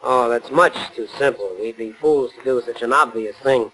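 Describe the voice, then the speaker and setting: An actor playing a cold, sneering grown son of a rich family, sardonic and dismissive, in 1940s radio style. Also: male voice, medium pitch, moderate pace, a bit bright, breathy edge, low-quality recording. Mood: callous. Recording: 1940s radio broadcast recording.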